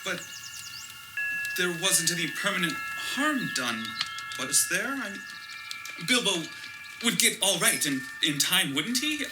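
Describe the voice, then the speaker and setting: A woman's voice: lowish voice, big pitch swings, slow, long pauses, low-quality recording. A woman playing an anxious, earnest young male hobbit in an audio drama, with a hesitant delivery. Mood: worried. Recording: loud background music, chirping crickets.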